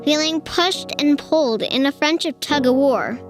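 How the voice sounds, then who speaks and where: A woman voicing a calm, caring female school counselor in a storybook, measured and reassuring. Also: high-pitched, over music, lightly acted. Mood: explaining.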